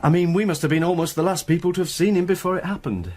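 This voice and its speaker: An actor playing a polite, evasive accountant in a radio drama, fussy, apologetic and hedging. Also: male voice, sits high, quick pace, darkish tone. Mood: courteous, flustered. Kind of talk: naturalistic radio drama acting.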